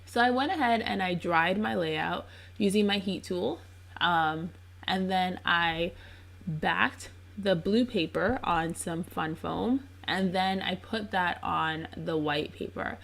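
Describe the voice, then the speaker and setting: A woman's voice: fairly low pitch, takes it slowly, creaky. A woman narrating a crafting video in a casual, chatty voice-over. She sounds congested and under the weather. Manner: chatty and relaxed, with frequent ums. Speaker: scrapbook crafter narrating her process video.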